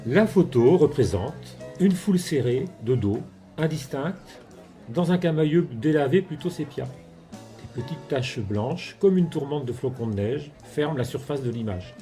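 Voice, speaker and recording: Male narrator for descriptive audio piece: mid-pitched, soft dark tone, light guitar music behind. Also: expressive, measured pace. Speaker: describer of old photographs.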